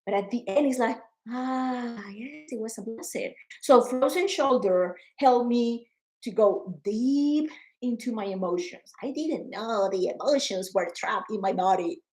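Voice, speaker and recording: A woman in her forties talking to camera in a personal vlog, earnest and emotional, often repeating words and growing animated when frustrated. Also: fairly high pitch, unhurried, low-quality recording. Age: 40s. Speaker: vlogger.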